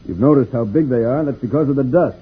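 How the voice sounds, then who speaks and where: A man's voice: mid-range voice, fast talker, breathy edge. A man playing a confident, kindly space pilot in a vintage radio serial, patient and instructive with authority. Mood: assured, explanatory. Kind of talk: 1950s radio serial acting. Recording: muffled, scratchy old radio recording.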